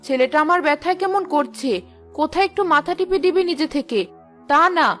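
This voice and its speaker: A woman's voice: high-pitched, a little fast, fairly bright tone, soft background music. A woman voicing a spiteful, scolding village aunt in a cartoon, with a nagging, scornful manner. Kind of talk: Bengali cartoon voice acting.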